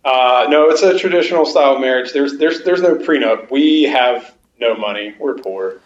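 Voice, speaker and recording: A man's voice: medium pitch, moderate pace. A young man talking as a podcast guest over a video call, chatty and self-mocking, often stumbling over his first words. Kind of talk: casual chat. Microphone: video call over a game console. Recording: echoey, muffled video-call audio.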